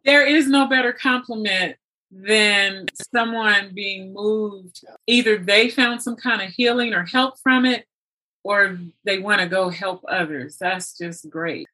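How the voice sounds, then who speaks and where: A woman talking reflectively in conversation, thoughtful and sometimes halting as she searches for words. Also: medium pitch, sharp tone, low-quality recording. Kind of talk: reflective conversational talk about spirituality.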